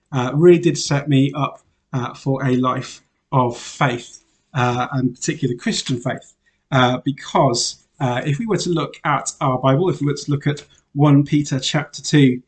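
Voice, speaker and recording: Chatty Welsh-born priest. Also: male voice, mid-range voice. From Wales, raised in Northamptonshire, England. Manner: relaxed and chatty, many "uh" fillers, light laughs. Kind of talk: informal self-introduction to parishioners.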